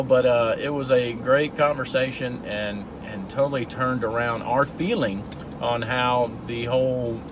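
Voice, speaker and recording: Middle-aged male vlogger, earnest storyteller, in-car recording with road noise. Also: mid-range voice. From Georgia, USA. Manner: earnest, storytelling, with repeated restarts. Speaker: vlog host. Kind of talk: casual talk while driving.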